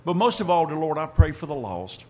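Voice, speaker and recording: A man with a voice raspy from an earlier service, leading a church prayer in a steady, repetitive cadence. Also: a little high, a little fast.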